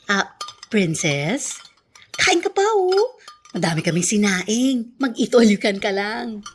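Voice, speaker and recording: A woman's voice: mid-range voice, takes it slowly, slight background noise. An actress playing a doting, nosy middle-aged Filipino mother who fusses and teases in a radio drama. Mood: doting, fussy. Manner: fussing, teasing, expressive.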